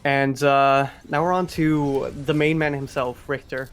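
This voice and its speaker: A man's voice: mid-range voice, level tone, measured pace. A man giving casual, joking live commentary on a speedrun at a gaming event. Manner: joking, playful banter.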